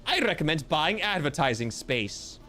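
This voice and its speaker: Playful man with a lively, bouncing voice. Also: on the high side, moderate pace, faint music behind. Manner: playful, theatrical, mock-grandiose joking. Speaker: streamer. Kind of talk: casual livestream chat reading viewer comments.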